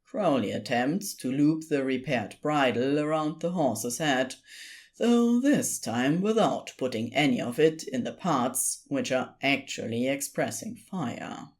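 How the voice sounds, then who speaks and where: A woman reading scripted story narration in an expressive storytelling style. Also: rumbling low, measured pace.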